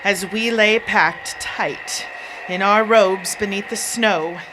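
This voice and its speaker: A woman's voice: medium pitch, takes it slowly. A woman giving a dramatic live recitation of narrative verse, theatrical and emphatic. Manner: theatrical, emphatic, with hushed asides. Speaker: poetry reciter. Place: live performance before listeners. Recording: live performance, faint background noise.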